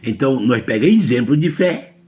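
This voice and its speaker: A man's voice: medium pitch, lively intonation, brisk, darkish tone. A man from Brazil with a Brazilian Portuguese accent, preaching a sermon emphatically and often asking his listeners whether they understand.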